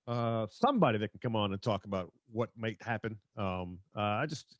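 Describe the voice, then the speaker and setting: A man's voice: lowish voice, expressive, moderate pace, no room sound, studio quality. An American man, middle-aged, hosting a casual sports podcast chat.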